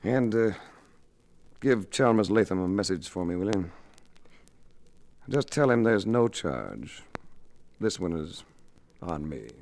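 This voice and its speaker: Low-voiced hard-boiled private eye. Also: male voice, animated, creaky, a little mellow, heavily acted. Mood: cool, bitter. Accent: American. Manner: terse, tough, deliberate. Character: hard-boiled, cool-headed male private investigator. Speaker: lead actor and narrator. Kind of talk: 1950s radio detective drama acting. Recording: vintage 1950s radio recording.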